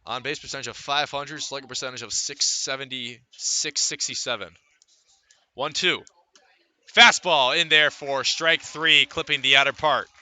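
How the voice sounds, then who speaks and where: An American man calling baseball live as a radio play-by-play announcer, reciting statistics between pitch calls. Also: bright, forceful tone. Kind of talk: live sports commentary.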